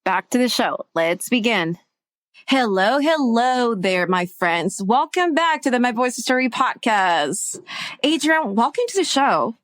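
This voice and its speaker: A woman's voice: on the high side, very animated, a little slow, dry recording, studio quality. A woman hosting a podcast, introducing her guest and reacting with effusive enthusiasm. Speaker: podcast host. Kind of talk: podcast interview.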